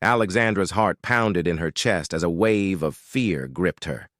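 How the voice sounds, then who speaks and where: A man's voice: low voice, unhurried, fairly bright tone, dry recording, clean studio sound. A man narrating a suspenseful mystery story in a dramatic, scripted style.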